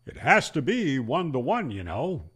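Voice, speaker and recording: A man's voice: mid-range voice, big pitch swings, unhurried, fairly bright tone, breathy edge. A man hosting a hobby radio channel, explaining and telling stories in a folksy, conversational way. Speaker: ham radio channel host. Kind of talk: explanatory storytelling to camera.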